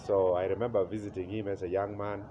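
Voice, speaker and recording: Man in his forties, Ugandan accent, slightly low pitch, dull faintly noisy recording, unhurried, pausing often. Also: little pitch movement. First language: Acholi. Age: 40s. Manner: unhurried, pausing with "uh", chuckling at memories. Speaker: interview guest. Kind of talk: relaxed life-story telling. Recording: slightly muffled with faint noise.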